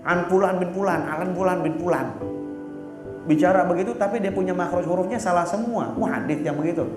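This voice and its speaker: A man's voice: sits high, fairly quick. A man preaching a sermon in a casual, blunt and teasing way, often stopping to search for words. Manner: casual and blunt, teasing, fillers like "apa namanya". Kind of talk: religious sermon. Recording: echoey room with music behind.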